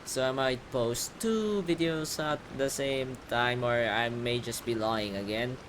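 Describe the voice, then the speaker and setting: A man's voice: medium pitch, measured pace, background noise. A man giving casual playthrough commentary on a video game, informal, joking and sweary. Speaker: gaming video creator.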